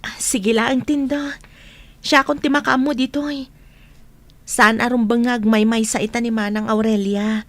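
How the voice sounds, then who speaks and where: An actress playing a caring, gently coaxing village woman in a radio drama. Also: fairly high pitch, steady tone, normal speed, long pauses, lightly acted. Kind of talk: radio drama acting in Ilocano.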